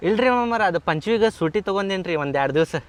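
A man's voice: high voice, lively intonation. A man voicing a deferential young brother-in-law speaking respectfully in a North Karnataka Kannada accent. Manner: polite, respectful, quick. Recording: noisy, partly off mic.

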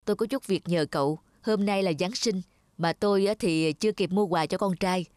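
A woman's voice: fairly low pitch, normal speed, a little mellow, no echo, lightly acted. A woman voicing a busy businessman father who talks curtly and impatiently in a cartoon, over background music. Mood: brusque. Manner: curt, impatient, bossy. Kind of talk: cartoon voice acting.

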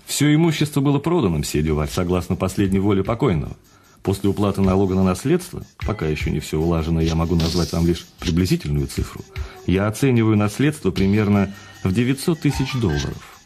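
A man acting in a radio drama as an urbane, patient notary who speaks in a measured, courteous way with teasing emphasis. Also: low voice, expressive, mellow. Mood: courteous, coaxing. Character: urbane, patient male notary breaking news of a fortune. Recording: studio radio play with faint music.

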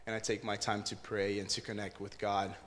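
A man's voice: fairly low pitch, brisk. Young man from Brazil in a church hall. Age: 20s. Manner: earnest, relaxed storytelling with fillers. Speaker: interview guest. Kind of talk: casual testimony on stage. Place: church stage before an audience. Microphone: handheld mic.

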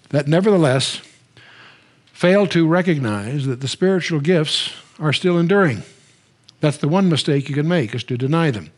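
Older male Bible teacher, slightly high voice, rambling lecture style, studio lapel mic. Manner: conversational, with frequent restarts and wry asides. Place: studio stage, standing at a lectern.

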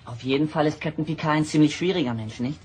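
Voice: mid-range voice, fast talker, darkish tone, breathy edge, natural voice. A young performer playing an eager, curious teenage boy in a science fiction radio drama, with an enthusiastic manner. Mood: eager, pleading. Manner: enthusiastic, pleading. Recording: noisy old cassette audio drama.

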